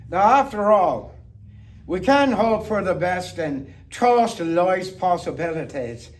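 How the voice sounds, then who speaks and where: Male monologue performer, high voice, playful tipsy toastmaster delivery with deliberate pauses, picked up at a distance. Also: expressive. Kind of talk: theatrical toast-making monologue. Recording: distant microphone.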